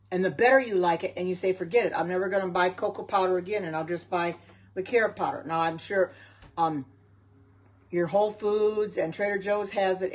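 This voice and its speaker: A chatty middle-aged American woman talks her way through a home livestream in her kitchen, her voice sitting at an ordinary middle pitch. She rambles, loops back on herself and stops to answer viewers' comments as they come in. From United States. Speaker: home cook and vegan livestreamer. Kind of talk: casual livestream chat with viewers.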